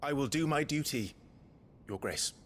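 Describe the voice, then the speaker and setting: An actor playing a dutiful young noble knight with a British accent, formal and restrained, in a fantasy game. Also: male voice, medium pitch, expressive, moderate pace, slight background noise, close to an everyday voice. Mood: deferential. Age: young adult. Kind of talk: dramatic fantasy game acting.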